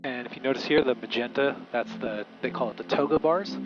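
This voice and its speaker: A man's voice: medium pitch, brisk, dull audio, music in the background. A man speaking as a pilot in a small aircraft over a headset, mixing casual explanation with clipped radio calls. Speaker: pilot and video host. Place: small aircraft cockpit in flight. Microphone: aviation headset.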